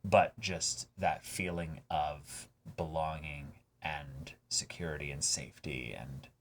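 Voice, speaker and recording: A man's voice: a bass voice, fairly even intonation, slow pace, a little hiss or hum. A man co-hosting a reaction show, discussing casually and emphatically, swearing and acting out imagined quotes. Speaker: co-host of an anime reaction show.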